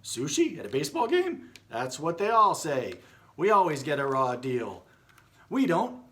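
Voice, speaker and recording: A man's voice: fairly high pitch, huge pitch swings, a little slow, fairly bright tone, exaggerated voice. A man voicing cheeky, boastful talking ballpark objects in a storybook, with a punchy, insistent delivery.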